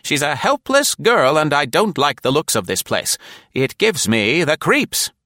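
A man's voice: on the high side, wildly swooping pitch, unhurried, pushed and bright, no echo, studio quality, voice pushed up, heavily acted. A man voicing a bossy, defiant older woman who speaks majestically and firmly, in a storybook reading. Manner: majestic, firm, indignant.

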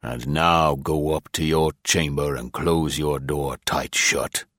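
Old farmer villain for an audiobook, voiced by a male narrator: extremely low, unhurried rustic drawl, calm and deadly. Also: dry recording, studio quality, exaggerated voice. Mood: stern, commanding. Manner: slow, measured rustic drawl. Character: menacing old farmer, slow, calm, grimly murderous grandfather. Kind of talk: audiobook character acting.

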